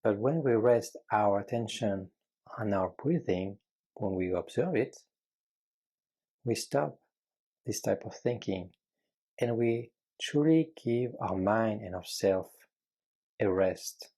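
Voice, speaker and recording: A man with a low, mellow, slightly husky voice leads a guided meditation. He speaks very slowly and gently, leaving frequent pauses between short, measured phrases of calm instruction.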